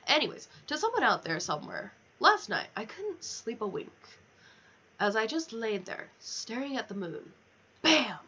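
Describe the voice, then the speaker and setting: A woman voicing a self-admiring, affectionate animal villager from a cozy video game, dramatic and drawn-out in delivery. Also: a little high, very animated, bright, forceful tone, slightly rough, muffled recording, full character voice. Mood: grandly boastful. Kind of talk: reading game dialogue in character.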